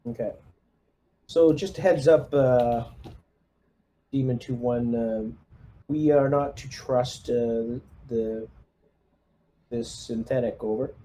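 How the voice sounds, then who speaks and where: Mellow, hesitant man playing a tabletop game. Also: medium pitch, steady tone, takes it slowly, long pauses, slight background noise. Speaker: tabletop player acting as squad commander. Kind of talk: casual in-game radio orders and table talk.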